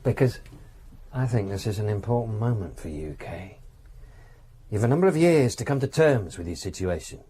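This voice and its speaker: Man acting a patronising prison official, lively pitch. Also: a little hiss or hum, lightly acted. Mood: stern, advising. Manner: patronising, measured authority, probing questions. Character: male prison official, patronising, exasperated, coldly reasonable. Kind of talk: radio drama acting.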